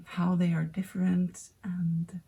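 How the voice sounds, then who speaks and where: A Swedish woman in her thirties, speaking English as a second language, hosting a knitting podcast in a hesitant, casual way with many pauses. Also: fairly low pitch, flat delivery, gravelly, warm tone. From Sweden. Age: 30s. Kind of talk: casual chat to camera.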